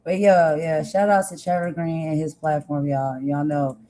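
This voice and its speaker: A woman host with a slightly raspy voice, chatting casually and loosely with lots of fillers and slang. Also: low-pitched, fairly even intonation, moderate pace, warm tone. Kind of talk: casual chat.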